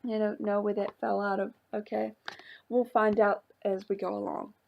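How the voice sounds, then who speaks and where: A young woman vlogger chatting casually about her journal pages, rambling with many fillers and little laughs, her voice slightly hoarse from a coming cold. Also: mid-range voice, little pitch movement, normal speed, dull audio, slight background noise. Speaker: craft vlogger. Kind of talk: casual chat showing a journal.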